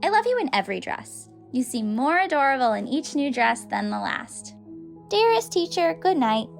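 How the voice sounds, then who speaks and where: A woman's voice: sits high, sing-song, moderate pace, faint music behind. A woman playing an adoring schoolgirl who reads a flowery love letter in a gushing, dramatic audiobook style. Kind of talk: audiobook character reading.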